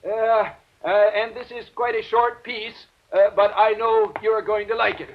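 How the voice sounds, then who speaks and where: An actor in vintage radio drama playing a fussy, apologetic concert announcer, hesitant, wordy and genteel, stammering through a formal introduction. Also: male voice, high-pitched, fairly even intonation, moderate pace, slight background noise. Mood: nervous, apologetic. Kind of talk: 1940s-50s radio drama acting, formal stage introduction. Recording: dull vintage radio recording.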